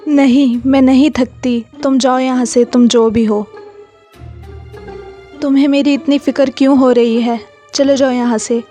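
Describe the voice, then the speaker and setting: Woman as an earnest cow, high soft-toned voice. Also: fairly even intonation, a little slow, faint music behind. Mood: wary, firm. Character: loyal, earnest female cow, a trusting royal servant. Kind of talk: children's story character acting.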